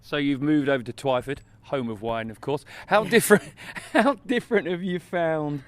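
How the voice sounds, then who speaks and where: A man's voice: a little high, moderate pace, dry recording. A man hosting a local podcast, reading a jokey upbeat intro and then interviewing a guest. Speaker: podcast host and producer.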